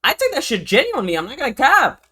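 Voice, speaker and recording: A man's voice: very high-pitched, wildly swooping pitch, fast talker, bright, forceful tone, breathy edge. A young man from Venezuela reacting on a livestream in casual, slangy, repetitive talk with plenty of swearing. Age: young adult. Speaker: streamer reacting to a video.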